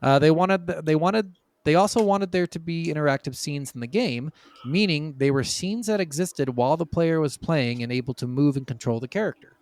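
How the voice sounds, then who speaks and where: A man with a fairly high voice talks casually as a podcast host, recorded very dry with no room sound. He retells things from notes like an animated storyteller, often chuckling as he goes. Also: a little fast, no room sound.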